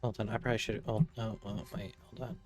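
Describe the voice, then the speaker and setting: A man's voice: on the low side, level tone, fast talker, darkish tone. A man giving casual, rambling live commentary as he plays a game on stream. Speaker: livestreamer playing a game. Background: faint game music.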